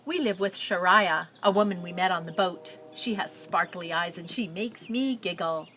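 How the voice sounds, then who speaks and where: A woman reading a picture book aloud, telling the story and pausing with simple explanatory asides for young listeners. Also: fairly low pitch, pushed and bright, a little hiss or hum.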